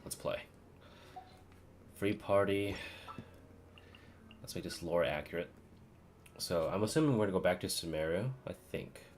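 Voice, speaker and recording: A man's voice: low-pitched, normal speed, long pauses, a little hiss or hum. A man doing casual live-stream gaming commentary, muttering and thinking aloud to himself. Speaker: game streamer.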